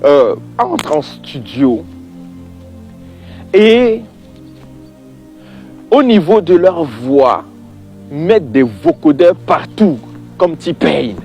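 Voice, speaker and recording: A man's voice: fairly high pitch, very animated, extremely slow, pauses often, warm tone, faint music behind. A man giving an informal religious opinion monologue, exasperated and emphatic, repeating points and addressing the listener directly.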